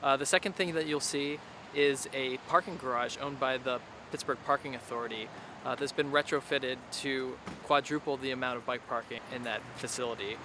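A man's voice: medium pitch, fairly even intonation, a little fast, background noise. A man who advocates for cycling, steadily listing points in an interview. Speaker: bike advocate.

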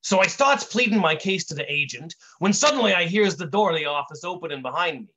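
A man's voice: high voice, expressive, fast talker, pushed and bright, husky, lo-fi sound, exaggerated voice. A man voicing a short-tempered wise-guy comedian with old-time tough-guy slang and grammar, in a story read aloud. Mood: exasperated.

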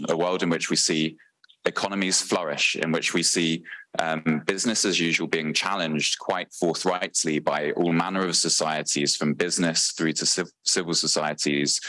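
A British man in his thirties speaking on stage at a conference with a handheld mic, earnest and thoughtful, often pausing with fillers and correcting himself. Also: sits low, pushed and bright, no room sound, rough recording. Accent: British English. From Britain. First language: English. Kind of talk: conference talk. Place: large conference hall, on stage.

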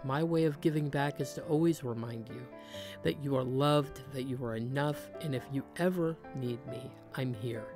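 A woman in her thirties, a cleaning channel host, speaking in a soft, earnest, sincere voice-over. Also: very deep voice, moderate pace, over music. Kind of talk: heartfelt voice-over narration.

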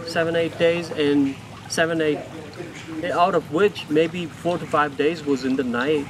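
A man's voice: a little high, fairly even intonation, measured pace, crowd noise. A man answering interview questions casually, hesitant and thinking aloud with fillers, outdoors at a marina. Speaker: guest crew member interviewed.

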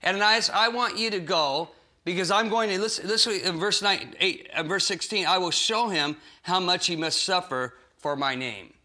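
A man's voice: high-pitched, normal speed. A man in his forties teaching a Bible lesson for small groups, acting out the voices of figures in the story, in a cozy living room set. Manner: animated, acts out voices in the story. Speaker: pastor.

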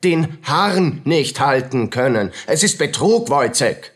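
A self-important doctor in a radio drama, obsessed with his experiments. His voice is husky and mid-pitched, and he declaims slowly, scolding in short barked phrases. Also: lively intonation. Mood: outraged.

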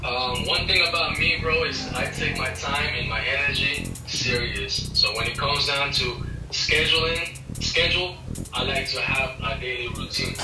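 A man's voice: medium pitch, sing-song, moderate pace, creaky. A man around thirty from Ecuador, giving casual, slangy interview answers over a video call. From Ecuador, moved to the US at twelve. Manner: informal, slangy address. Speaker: interview guest, music A&R executive. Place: small indoor office. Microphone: video call, laptop distance. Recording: roomy, distant video-call audio with music behind.

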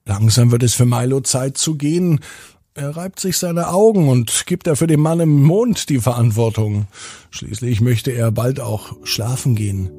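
A man's voice: mid-range voice, a little slow, dark tone, breathy edge, no room sound, clean studio sound. A man telling a bedtime story for children, expressively and addressed straight to the young listener.

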